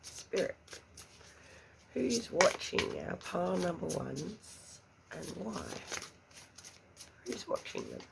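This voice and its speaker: A woman's voice: lowish voice, sing-song, slow, creaky, pauses often, very noisy. A woman giving a pick-a-card tarot reading, chatty and a little congested, murmuring questions to spirit under her breath. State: congested after an illness. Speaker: tarot reader.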